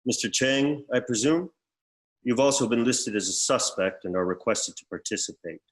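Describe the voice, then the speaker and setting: An actor playing a severe, emotionless executor who speaks formally and precisely in a scripted comedy table read. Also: male voice, mid-range voice, moderate pace, natural voice. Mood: formal, impassive. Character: severe, emotionless executor in a suit, coolly officious. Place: remote video call.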